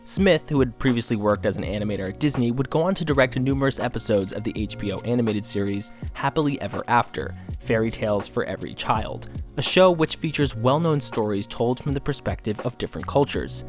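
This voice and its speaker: A man reading scripted documentary narration in a measured, formal, informative manner. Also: mid-range voice, animated. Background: faint music.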